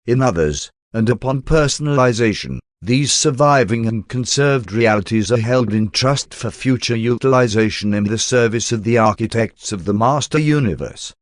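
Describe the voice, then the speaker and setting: A man narrates in a formal, measured way, like an audiobook of scripture. His pitch sits in a middle range, and the sound is dry with no room around it. The delivery is solemn and carefully scripted. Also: lively intonation, no echo. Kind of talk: scripted narration of a spiritual text.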